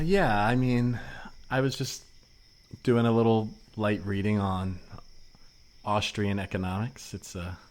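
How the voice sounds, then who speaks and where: A man's voice: on the low side, close to an everyday voice. A man playing an earnest, wonkish libertarian in improvised comedy, explaining things in a pedantic manner. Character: earnest, wonkish anarcho-capitalist reading economics on park bench. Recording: noisy remote recording.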